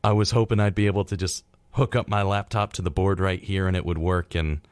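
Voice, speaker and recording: A young American man co-hosting a college radio show in casual chat, with halting phrasing and repeated words. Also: sits low, steady tone, smooth, no room sound. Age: young adult.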